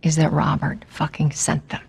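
A woman's voice: low voice, monotone, normal speed, gravelly, husky, heavily acted. A woman playing a tough, wry smuggler in a video game, blunt and streetwise, with an American accent. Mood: defiant. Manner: blunt, casual, streetwise, swearing. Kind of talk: naturalistic video game dialogue.

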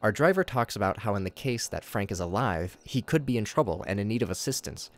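A man's voice: lowish voice, sing-song, fairly quick. A man giving a scripted narration that recaps horror footage in a casual, wry way. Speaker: narrator of a video commentary.

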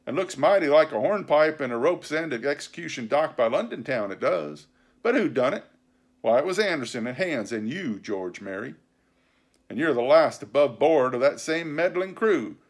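A scornful, commanding pirate captain from a sea-adventure storybook. The voice rides high and swoops widely, full of sly sarcasm and blustering, salty sailor talk. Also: male voice, big pitch swings, moderate pace. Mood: indignant, blustering. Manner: rough nautical slang, sarcastic, blustering speechifying.

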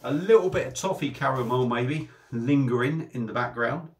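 A man's voice: medium pitch, moderate pace. Man chatting about beer. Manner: chatty, repeats himself, restarts phrases. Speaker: beer reviewer. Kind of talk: casual beer review to camera. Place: small home room with shelves.

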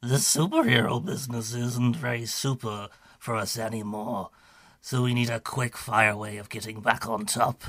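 An actor playing a curt, bossy older studio boss, impatient and money-minded, in a radio drama. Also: male voice, mid-range voice, fairly even intonation, moderate pace, a bit bright, no room sound, lightly acted. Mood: businesslike, impatient.

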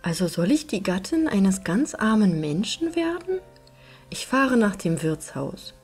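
A woman's voice: mid-range voice, sing-song, measured pace, darkish tone, slightly rough, soft background music. A woman voicing a proud young princess bride with a formal manner in a fairy-tale reading. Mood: indignant.

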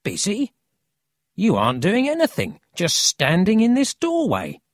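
A cheeky, brash city boy from a storybook, played by an adult reader. His voice is pitched high and swoops about with eager, teasing energy, recorded dry and close. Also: wildly swooping pitch, unhurried, no room sound. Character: cheeky, excitable, friendly city boy with a horn.

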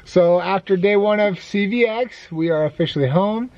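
Jokey dad vlogging from a car. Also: male voice, sits high, normal speed, highs cut off, noisy background. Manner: jokey, cheerful sign-off. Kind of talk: casual family vlog. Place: inside a car at night. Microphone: camera at arm's length.

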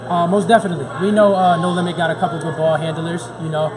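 A man's voice: on the high side, level tone, quick pace, poor audio. A young man, a basketball player, giving a casual, slangy postgame interview. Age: young adult. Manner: slangy, informal. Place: large indoor basketball gym. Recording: gym with clear crowd noise behind.

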